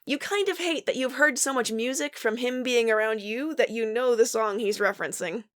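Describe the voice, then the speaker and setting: A woman's voice: on the high side, normal speed, a bit bright, no room sound, clean studio sound. A woman reading fan fiction aloud as a podfic voice actor, narrating in the second person and acting out dialogue.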